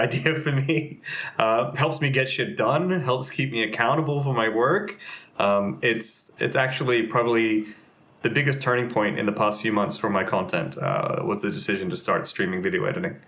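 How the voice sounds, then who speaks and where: A man's voice: medium pitch, normal speed, fairly bright tone. Rambling young man, chatty guest. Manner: rambling, lots of fillers and restarts. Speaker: game community manager and streamer. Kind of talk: casual interview chat.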